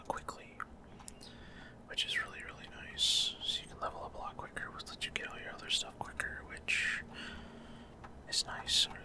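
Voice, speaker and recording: Guy whispering asmr gameplay commentary, casual n halting, explains stuff as he goes, right up on the mic. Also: very high voice. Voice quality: unvoiced whisper. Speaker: gaming video host.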